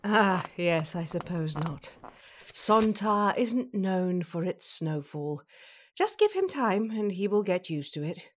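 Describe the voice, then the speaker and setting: A woman playing a calm, motherly figure in an audio drama, measured, formal and gently reassuring. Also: fairly low pitch, full character voice. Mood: affectionate. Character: calm, composed, motherly female reptilian detective. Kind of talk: fan audio drama acting.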